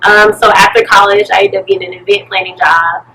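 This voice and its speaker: A woman tells her story casually and with animation. Her voice is bright and forceful. She is a little away from the mic, with faint ambient noise behind her. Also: medium pitch, steady tone, fairly quick. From Birmingham, Alabama, USA. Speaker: interview guest, pole studio owner and event planner. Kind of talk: casual chat. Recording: slightly distant mic, noisy room ambience.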